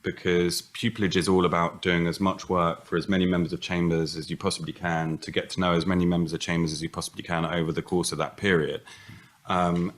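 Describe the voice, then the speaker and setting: A man with a very low voice speaks as a panel barrister. He gives candid career advice in measured sentences after a few stumbling starts. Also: level tone. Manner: hesitant restarts, then fluent explanation.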